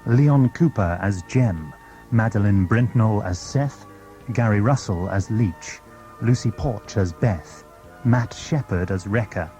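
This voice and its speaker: Casting: male announcer for drama credits, low mellow delivery, light music underneath. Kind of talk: scripted announcement. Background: faint music.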